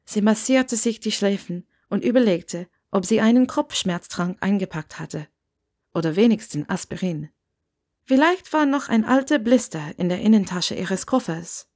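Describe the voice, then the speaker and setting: A woman's voice: medium pitch, animated, normal speed, darkish tone. A woman reading a scripted story aloud as its narrator. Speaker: narrator reading fan fiction.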